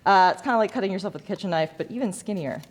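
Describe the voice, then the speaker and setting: A woman's voice: medium pitch, very fast. A woman teacher giving an informal hands-on demonstration to students, with self-deprecating, jokey asides. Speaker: lighting design teacher. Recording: echoey hall, noticeable background noise.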